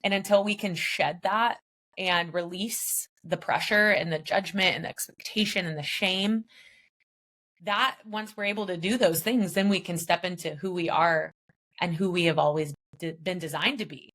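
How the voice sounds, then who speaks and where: A woman's voice: lowish voice, steady tone, moderate pace, fairly bright tone. A woman guest in a podcast interview, speaking emphatically and casually about mindset, with an occasional light swear. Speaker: guest, business founder. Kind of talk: motivational interview talk.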